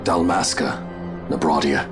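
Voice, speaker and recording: A deep-voiced stoic knight. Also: male voice, slow pace. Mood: solemn. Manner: solemn, steady, resolute. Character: loyal stoic middle-aged male knight. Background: game soundtrack music.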